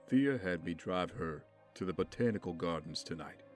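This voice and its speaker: A man acting a somber, troubled confidant of a vigilante in an audio drama. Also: sits low. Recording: faint music behind the voice.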